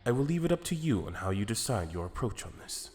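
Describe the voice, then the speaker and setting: A man playing a calm, calculating celestial attendant who speaks in a measured, formal, deliberate way in an anime fan drama. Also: lowish voice, in a large hall, a little hiss or hum. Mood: advising.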